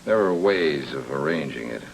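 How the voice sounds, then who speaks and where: An actor playing a hard-boiled, wisecracking private detective in an old film noir drama, terse and dry. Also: male voice, extremely low pitch, normal speed, vocal fry, exaggerated voice. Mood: cool, dry. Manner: terse, dry, deadpan delivery. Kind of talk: 1940s film noir radio acting. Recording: old film soundtrack with faint noise.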